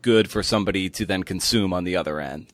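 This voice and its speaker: A man hosting a podcast interview, asking questions conversationally in halting, circling phrases with repeated words. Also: sits low.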